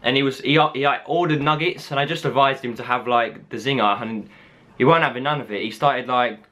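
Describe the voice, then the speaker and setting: A man's voice: medium pitch, brisk, bright, forceful tone. A young man, chatting casually in a hesitant, rambling, slangy way. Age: young adult. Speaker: prank caller, video host. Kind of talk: casual improvised chat. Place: small room at home.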